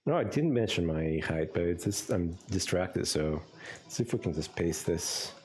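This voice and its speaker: A man with a Greek accent talks through a game on a live stream in a very deep, low voice. He rambles and thinks aloud, with lots of ums and trailing thoughts. He speaks into a close desk mic indoors at home. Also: expressive, moderate pace, darkish tone, slightly rough. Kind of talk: casual live-stream commentary.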